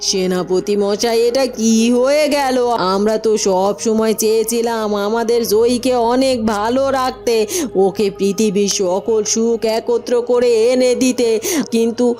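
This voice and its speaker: A woman's voice: on the high side, fairly even intonation, measured pace, warm tone, close to an everyday voice. A woman voicing an anguished, imprisoned mother in a melodramatic cartoon, pleading and lamenting. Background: music.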